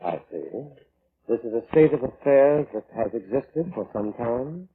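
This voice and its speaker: Actor as a formal coroner, tinny old radio. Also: male voice, medium pitch, animated, slight background noise, natural voice. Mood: quietly ominous. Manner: formal, measured, precise. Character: unflappable, courteous, quietly ominous male coroner. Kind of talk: 1940s radio drama acting. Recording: muffled vintage radio recording.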